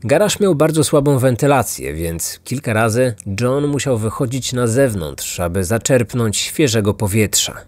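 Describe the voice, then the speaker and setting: A man's voice: medium pitch, expressive, moderate pace, few pauses, a little mellow. A man hosting a true-crime podcast, reading a scripted narration with music behind him. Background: background music.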